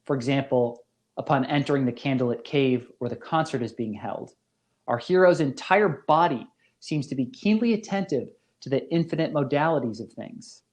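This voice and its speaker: A male professor narrates a scripted academic lecture in a mid-pitched voice. His phrasing is deliberate and literary, each clause carefully set out.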